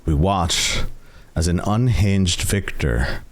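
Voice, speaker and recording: Low-voiced man narrating with dramatic swings. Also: lively intonation. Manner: theatrical, suspenseful, with deliberate pauses. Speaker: game master. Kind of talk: slow, dramatic cinematic narration of a tabletop game.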